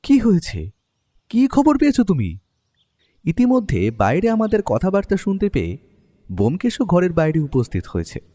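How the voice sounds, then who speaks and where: A man performs a detective's writer companion narrating an audio mystery. His mellow, somewhat high voice moves with lively swings of pitch, expressive and literary. It carries long reverb over music. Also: moderate pace, long pauses, full character voice. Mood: puzzled, concerned. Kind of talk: scripted first-person story narration and drama acting.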